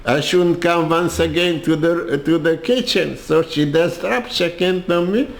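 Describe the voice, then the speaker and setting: An older man with a Hungarian accent telling his life story in an interview, halting and restarting his sentences often. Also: fairly high pitch, vocal fry, roomy sound. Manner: halting, with many restarts and repeated words. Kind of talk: personal storytelling.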